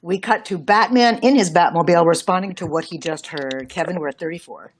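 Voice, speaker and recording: A woman voice director briskly reading stage directions and cueing actors. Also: fairly low pitch, slight background noise.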